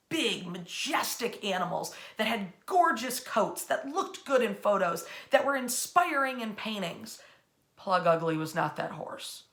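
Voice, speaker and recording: An American woman narrating history as a dramatic story, with emphatic, theatrical phrasing and deliberate pauses. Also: medium pitch, sharp tone.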